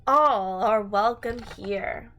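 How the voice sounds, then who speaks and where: A woman's voice: medium pitch, very slow, fairly bright tone, muffled recording. A woman teacher doing a read-aloud storytime for young children in a sing-song manner.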